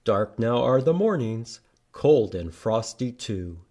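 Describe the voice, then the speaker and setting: Male storytime reader for young children: lively pitch swings, unhurried delivery, participatory and expressive. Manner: expressive, animated, asks listeners to join in. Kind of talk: read-aloud storytime with singing and prompts to children.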